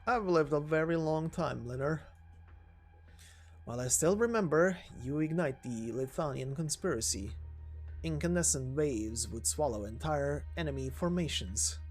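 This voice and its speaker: A man voicing a long-lived, menacing assassin from a game story, speaking deliberately and formally with ominous pauses. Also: fairly high pitch, animated, husky, soft background music. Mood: reverent menace. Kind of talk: dramatic game story reading.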